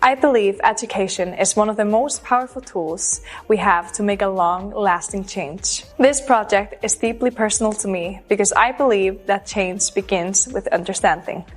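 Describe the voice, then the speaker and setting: A woman reads a prepared self-introduction as a pageant contestant. Her voice sits at an ordinary middle pitch, and her delivery is earnest and measured, with a steady recited rhythm. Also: faint music behind. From Iceland. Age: 20s.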